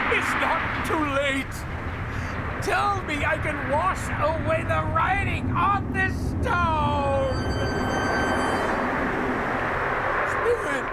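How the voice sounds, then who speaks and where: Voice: high-pitched, pushed and bright, exaggerated voice. An actor playing an old miser in a radio drama, stammering and exclaiming with great emotion. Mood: desperate pleading. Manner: stammering, repeating words, pleading and exclaiming. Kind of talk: theatrical radio drama acting.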